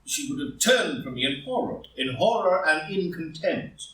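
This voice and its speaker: A man playing a proud, ambitious, anguished gentleman politician, speaking in impassioned formal rhetoric in a live play reading. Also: on the high side, animated, normal speed. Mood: distressed. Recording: roomy echo, far from the mic.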